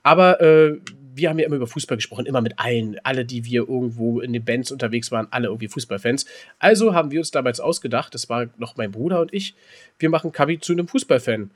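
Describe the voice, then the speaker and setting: A man's voice: mid-range voice, fast. A man co-hosting a podcast, telling stories and bantering casually in a teasing, rambling way with repeated words. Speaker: podcast co-host.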